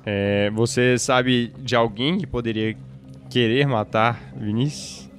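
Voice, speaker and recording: A man's voice: medium pitch, fairly even intonation, takes it slowly, faint music behind, natural voice. A man playing a police detective in a tabletop game, pressing with insistent questions and clarifying every detail. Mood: businesslike, probing. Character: probing male police detective leading an interrogation.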